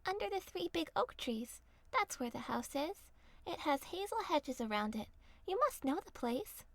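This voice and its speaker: A woman's voice: high voice, very animated, normal speed, no room sound, pitched above the performer's own voice. A woman voicing an innocent, polite little girl in a fairy tale, sweet, earnest and naive. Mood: polite, trusting.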